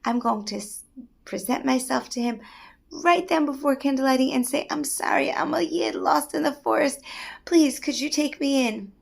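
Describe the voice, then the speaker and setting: A woman's voice: high-pitched, normal speed, gravelly, voice pushed up. A woman voicing a distressed, childless male town rabbi who pleads anxiously. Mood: resolved.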